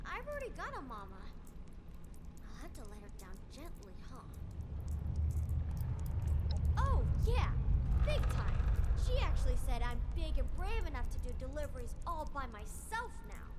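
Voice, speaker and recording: A woman's voice: high-pitched, very animated, normal speed, heavy background noise. A woman voicing a plucky, adventurous young boy in a video game, with a childlike voice and eager, boastful, slangy kid talk. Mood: cheerful. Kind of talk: video game character dialogue.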